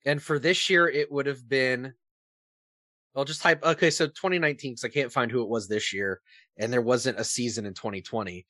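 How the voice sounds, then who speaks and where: A man's voice: medium pitch, fairly even intonation, brisk, pushed and bright, no echo. Man, American accent, sports podcast co-host, opinionated, casual, rhetorical questions, dry close sound.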